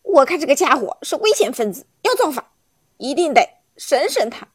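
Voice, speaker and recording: A woman's voice: high-pitched, sing-song, normal speed, no room sound, studio quality. A woman voicing a scheming guard captain who speaks in an affected, speechifying way.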